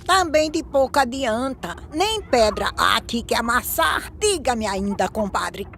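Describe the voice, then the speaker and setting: A shrewd, questioning village woman from an animated drama, with a Brazilian accent. The high voice rises and falls a lot as it delivers verse, with a little ambient noise behind it. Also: measured pace, creaky, heavily acted. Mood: probing, dismissive.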